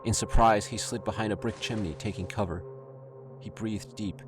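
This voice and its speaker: A man's voice: on the low side, steady tone, moderate pace. A man narrating a scripted story in a dramatic style, as the narrator of an audio drama. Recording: loud music behind the voice. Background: loud dramatic music.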